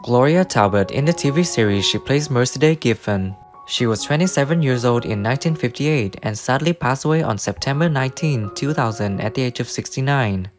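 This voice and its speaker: A man's voice: mid-range voice, animated, a little fast. A man reading scripted biographical narration. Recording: music under the voice. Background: guitar music.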